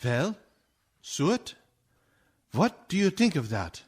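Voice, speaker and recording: A grave, commanding old vampire-hunting doctor, acted by a man in a radio play. He speaks slowly and emphatically, with rhetorical weight and widely swinging pitch. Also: big pitch swings, husky, heavily acted. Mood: probing, intense. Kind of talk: dramatic radio play acting.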